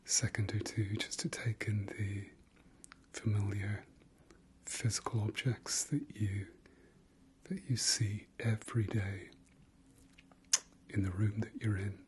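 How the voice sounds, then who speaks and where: A man leading an ASMR guided meditation in a soft, breathy whisper, gentle and halting, with pauses and trailing repeats. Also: on the low side, slight background noise.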